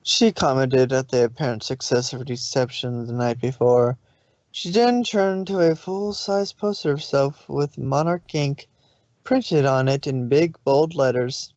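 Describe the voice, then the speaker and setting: A woman narrating fan fiction as an expressive story reading. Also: extremely low pitch, measured pace, dry recording. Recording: slightly dull home recording.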